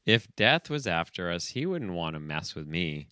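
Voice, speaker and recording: Male podcast co-host, slightly deep clean voice, bemused and meandering, recorded close and dry. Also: sing-song, normal speed, sharp tone, smooth, no echo. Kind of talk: casual comic banter and script reading.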